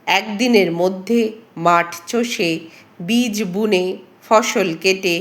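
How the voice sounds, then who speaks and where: Brief: woman plays wise seven-year-old village girl, calm and reassuring, slow, lilting storybook delivery. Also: medium pitch. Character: clever, calm seven-year-old peasant girl comforting her father.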